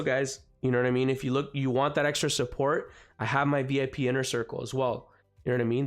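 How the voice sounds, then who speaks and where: A man with a slightly raspy voice from the cold hosting a live trading stream, chatting casually and filling gaps with "guys" and "you know what I mean". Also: medium pitch. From Canada. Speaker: forex trading livestream host. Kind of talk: live market commentary. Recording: faint music behind.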